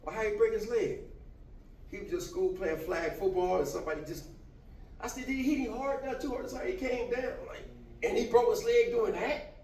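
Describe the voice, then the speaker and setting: A man in his fifties giving a casual talk to a class, animated and often laughing as he jokes with the audience. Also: high voice, moderate pace, far from the mic. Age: 50s. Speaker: motivational speaker. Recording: echoey room, from afar, faint noise.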